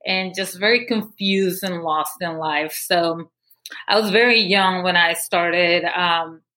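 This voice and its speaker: Candid woman from Guatemala, low voice. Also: slow pace, sharp tone. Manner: candid, with frequent fillers. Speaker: guest, movement founder. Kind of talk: personal story interview.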